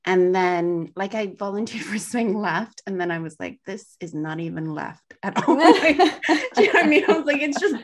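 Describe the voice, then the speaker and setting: A woman's voice: mid-range voice, expressive, moderate pace. A woman co-hosting a podcast in casual conversation, with a soothing voice, talking in meandering, self-correcting sentences full of fillers. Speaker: podcast co-host.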